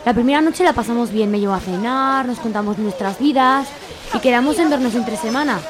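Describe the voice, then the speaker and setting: A woman's voice: on the high side, brisk, crowd noise, close to an everyday voice. A woman playing a chatty, jilted friend with a Spanish accent from Spain, confiding colloquially in a radio comedy serial. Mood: resigned, confiding.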